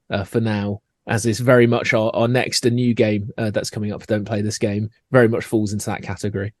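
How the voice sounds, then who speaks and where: Scottish man, mid-pitched guest, eager and self-correcting, dry close sound. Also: dry recording. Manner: lively, self-correcting, repeats words. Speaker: game designer guest. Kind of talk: casual podcast interview.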